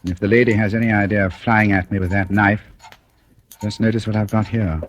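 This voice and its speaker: A noisy vintage radio thriller recording carries a low, suave voice of a male actor. He is a cunning gentleman who speaks in measured, ironically polite phrases, mocking and coolly menacing throughout. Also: fairly even intonation, dull audio, natural voice. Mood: controlled, businesslike. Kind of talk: 1940s radio thriller acting.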